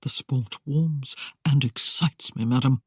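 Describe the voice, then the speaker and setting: A narrator lightly voicing a roguish young man who teases with mock innocence. The voice is a little husky and sits in a mid pitch, read close and dry. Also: slow pace, no echo. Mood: teasing. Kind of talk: audiobook character voice.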